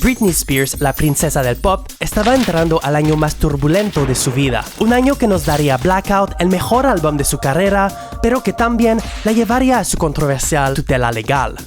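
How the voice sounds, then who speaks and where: A man's voice: a little high, big pitch swings, moderate pace, few pauses, over music. A man from Quebec with a Québécois French accent, a native French speaker, giving a careful, earnest scripted narration as host of a video essay. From Quebec, Canada.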